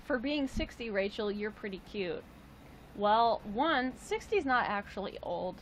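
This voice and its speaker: Late-twenties woman livestreamer, chatty and jokey, mid-pitched, faint room hum behind. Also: expressive, unhurried, rough recording. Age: 20s. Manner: chatty, jokey, with playful high exclamations. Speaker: livestream host. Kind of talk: casual livestream chat reading viewer comments.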